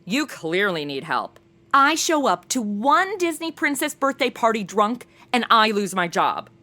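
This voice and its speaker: A young woman playing a snarky, excitable modern gal in a radio comedy, gushing and sassy. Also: on the high side, measured pace, full character voice. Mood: indignant. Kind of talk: comic narration and banter in modern slang.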